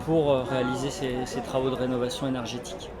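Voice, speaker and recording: A man explaining his association's work in an interview, halting often as he searches for words. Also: medium pitch, level tone. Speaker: energy renovation association representative. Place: community associations forum in a sports hall. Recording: loud crowd hubbub at a fair. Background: loud crowd.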